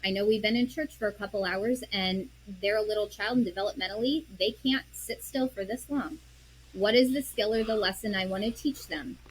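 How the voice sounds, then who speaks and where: A woman guest on an interview show, earnestly explaining how parents can respond calmly, often acting out what she would say to her child. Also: medium pitch, brisk, husky, low-quality recording. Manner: earnest, explains with examples and quoted self-talk; drops to a soft, quiet voice when modeling calm. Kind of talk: conversational interview.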